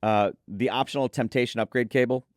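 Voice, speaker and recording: A man's voice: medium pitch, steady tone, a little fast, a little mellow, no room sound, clean studio sound. A man hosting a conversational product preview, reading out specs and chatting with enthusiasm about them. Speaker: host presenting an audio gear preview.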